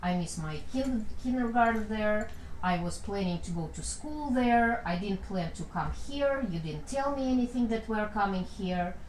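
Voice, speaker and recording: A woman speaks haltingly, often stopping and restarting her sentences as she works through memories in a role-play. Her voice sits at a middle pitch and carries a roomy echo, with a faint hiss behind it. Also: animated, darkish tone. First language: Russian. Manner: halting, hesitant, many restarts. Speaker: psychodrama protagonist. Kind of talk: emotional role-play exploration.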